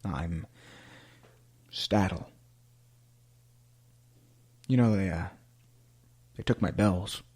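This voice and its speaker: A man's voice: deep voice, extremely slow, long pauses, darkish tone, echoey room. A man playing an anguished, lovesick court clown in a radio play, with a theatrical, poetic, brooding delivery. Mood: wistful, defeated.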